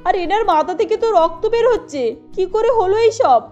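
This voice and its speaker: Cartoon role: woman voicing a high-pitched scolding lady, slightly dull sound, music behind. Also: animated, normal speed, a little mellow. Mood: worried. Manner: emphatic, scolding. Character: adult women: scolding employer and worried mother. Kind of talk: Bengali cartoon dubbing.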